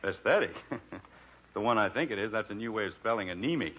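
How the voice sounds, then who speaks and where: A man's voice: fairly low pitch, expressive, quick pace, sharp tone, husky, exaggerated voice. An American actor playing an exasperated, sarcastic middle-aged suburban father in an old radio sitcom. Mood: dry, probing. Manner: dry sarcasm, blustering when flustered. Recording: dull, noisy old radio recording.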